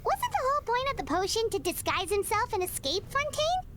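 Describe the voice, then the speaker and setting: A woman's voice: very high voice, sing-song, brisk, background noise. A woman voicing an earnest young girl in a game, asking puzzled questions. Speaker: game character. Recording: game audio with clear clicks.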